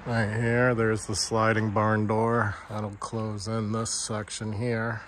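A man's voice: on the low side, completely flat delivery, takes it slowly, fairly bright tone, a little hiss or hum. A man giving a plain, matter-of-fact walkthrough of his building site, filming on a handheld phone outdoors. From Vermont. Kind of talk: casual walkthrough narration. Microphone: handheld phone camera.

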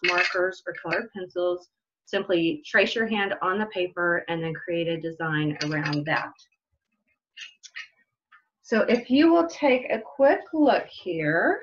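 A woman's voice: mid-range voice, a bit bright. A middle-aged woman hosting a children's story time as a librarian, explaining things simply, on lo-fi home webcam audio. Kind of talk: children's story time read-aloud and craft instructions. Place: indoors in a room at home.

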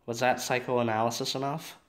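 A man's voice: medium pitch, little pitch movement, brisk, close to an everyday voice. Matt, a man as a blunt teenage boy. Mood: easygoing. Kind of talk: audio drama acting.

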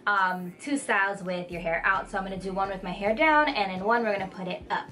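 A woman's voice: medium pitch, fairly quick, bright, forceful tone, a little hiss or hum. A young woman hosting a casual vlog, chatting to camera with playful, slangy banter. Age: young adult. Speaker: vlog host. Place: small indoor room, sequin backdrop. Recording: slightly distant mic, music behind.

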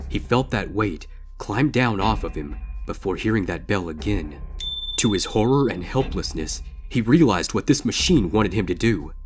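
Deep-voiced man narrating a story. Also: slight background noise. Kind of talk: dramatic scripted fan fiction narration.

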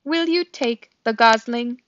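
A woman's voice: a little high, measured pace, a bit bright, no room sound, highs cut off. A woman voicing a friendly, literal-minded female robot who speaks in cheerful, formal, precise sentences. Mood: matter-of-fact.

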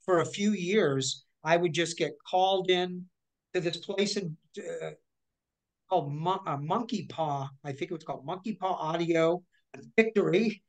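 A woman in her forties, speaking over a video call from her living room. She tells her stories in a lively, chatty way, breaking off and restarting mid-sentence. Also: fairly low pitch, a little slow. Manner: chatty, animated, with many restarts and hand gestures. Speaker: guest voice actor. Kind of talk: casual interview storytelling.

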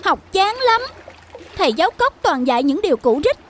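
A woman's voice: very high-pitched, big pitch swings, moderate pace, slight background noise. A woman voicing a cocky, whiny little frog child who skips school, in a children's cartoon dub. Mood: bored. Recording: music behind the voice.

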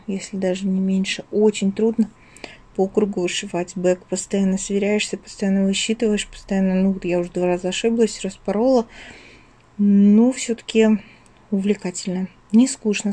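Mellow-voiced woman chatting casually. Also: mid-range voice. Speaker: craft vlogger, channel host. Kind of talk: casual chatty craft vlog. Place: at home, indoors.

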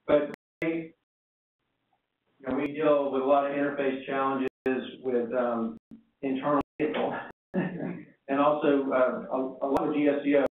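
Distant, echoey American man presenting, even-toned. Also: mid-range voice, normal speed, pauses often. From East Coast of the United States. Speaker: keynote speaker, aerospace engineer. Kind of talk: conference presentation with slides. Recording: distant, echoey, narrow phone-like sound, faint noise.

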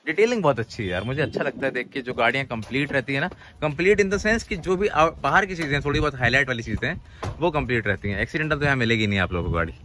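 A man's voice: medium pitch, lively intonation, rapid-fire, pushed and bright. A man hosting a casual car walkaround vlog, chatty and informal, asking questions as he goes. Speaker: car vlogger, host. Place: open-air used car lot, partly inside car. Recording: outdoor ambient noise.

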